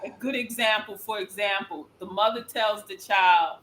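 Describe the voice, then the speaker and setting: A woman's voice: mid-range voice, moderate pace, fairly bright tone, echoey room, a little hiss or hum, low-quality recording. A woman on a panel, explaining her point through everyday examples in a casual discussion.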